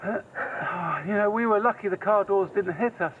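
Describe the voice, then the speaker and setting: A woman acting a shaken but determined, compassionate survivor in a horror audio drama, halting and stammering through her words. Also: medium pitch, narrow, tinny audio, lightly acted. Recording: noisy outdoor scene effects.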